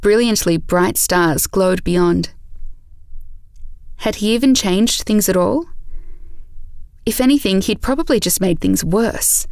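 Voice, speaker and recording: A woman narrating an audio drama from a script in a measured storytelling manner. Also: medium pitch, dry recording, studio quality.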